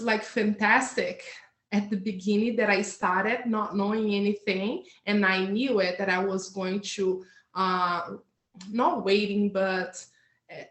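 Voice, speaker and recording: A woman whose first language is not English, a hypnotherapist guest talking in an earnest, halting way with many fillers and restarts over a video call. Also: mid-range voice. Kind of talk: casual interview. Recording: roomy echo.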